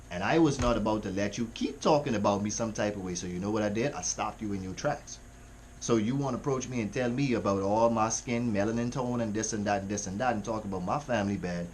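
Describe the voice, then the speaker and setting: A man's voice: fairly low pitch, slight background noise, poor audio. A man from the Caribbean with a Caribbean accent, hosting a music reaction in a slangy, rambling, laid-back way. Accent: Caribbean English. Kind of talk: casual reaction commentary.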